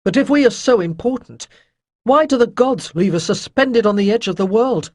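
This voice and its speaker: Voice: medium pitch, expressive, normal speed, dry recording, clean studio sound, voice pushed up, natural voice. A narrator voicing a sullen young male doubter in a fantasy storybook, sneering and complaining.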